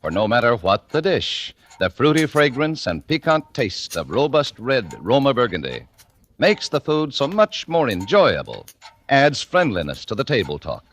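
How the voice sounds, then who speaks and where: A man reads sponsor copy as a vintage radio announcer. His medium-pitched voice swings widely and lands emphatically on selling words, in a polished 1940s style. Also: very animated, normal speed, fairly bright tone.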